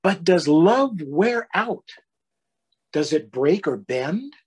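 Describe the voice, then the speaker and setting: Storybook fox child, read by a man: little, grumpy, needy, questioning, with a lilting, slightly rough voice. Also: a little high, very animated, takes it slowly, a little mellow, voice pushed up, heavily acted. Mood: anxious, questioning. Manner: questioning, plaintive storybook delivery. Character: small grumpy child fox, anxious for love.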